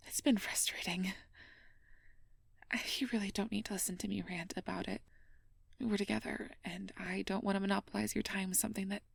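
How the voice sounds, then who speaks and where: Breathy, earnest young girlfriend voiced by a woman. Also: mid-range voice, no room sound, clean studio sound. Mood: hesitant, apologetic. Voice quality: breathy, often whispered. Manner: earnest, hesitant, careful polite phrasing. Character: sweet, anxious, conscientious young air mage girlfriend confiding her worries. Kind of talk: ASMR role-play, intimate personal address.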